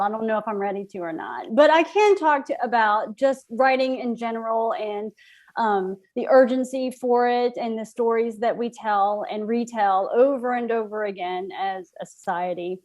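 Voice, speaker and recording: A woman with a fairly high voice talks reflectively in an interview as a playwright. She is thoughtful and halting, building her ideas piece by piece and posing questions to herself. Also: darkish tone.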